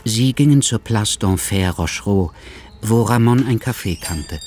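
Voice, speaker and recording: A man narrating a literary radio drama in a measured, storytelling manner. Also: lowish voice, lightly acted.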